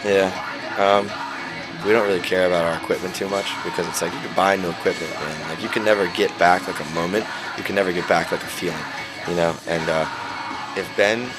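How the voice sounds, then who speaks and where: A man's voice: low-pitched, steady tone, fairly quick, low-quality recording. A young man, a band singer, chatting casually in an interview, laid back, swearing freely and filling his talk with "like" and "man". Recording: loud music playing behind.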